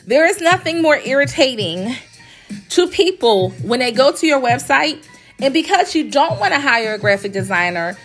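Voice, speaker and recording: A woman hosting a casual podcast, sounding congested as she recovers from illness, chatty and emphatic, repeating phrases for stress. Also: on the high side. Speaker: podcast host, graphic design company owner. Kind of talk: casual solo podcast talk.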